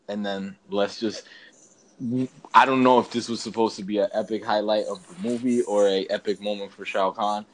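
Male podcast co-host, somewhat low register, casual and slangy, emphatic repetitive ranting. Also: fairly even intonation, moderate pace, low-quality recording. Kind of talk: casual chat ranting about films.

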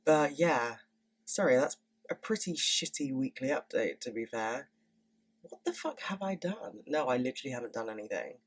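A woman's voice: rumbling low, expressive, moderate pace. A young woman in her twenties hosting a podcast in casual, candid chat, swearing freely and sounding stuffy from a cold. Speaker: podcast host.

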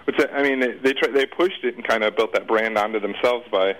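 A man's voice: on the low side, fairly quick, a bit bright, poor audio. A man answering casually as an interview guest, rambling off the cuff, repeating words and trailing off.

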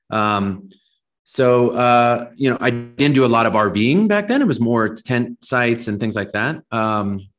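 A man's voice: lowish voice, a bit bright. An American man in his forties from Southern California, answering conversationally as a company founder being interviewed.

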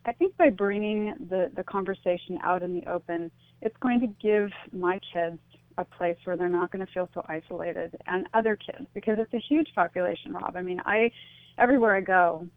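A middle-aged American woman speaking as a radio interview guest, reflective and halting, choosing her phrasing tentatively. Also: on the low side, dry recording. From United States. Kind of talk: earnest radio interview conversation. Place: remote call to a radio show. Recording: thin phone-like line.

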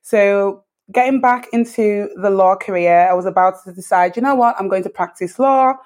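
Thirties woman, British accent, interview guest, thoughtful and earnest, pauses often. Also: medium pitch, darkish tone. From Dominica. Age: 30s. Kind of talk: reflective personal storytelling.

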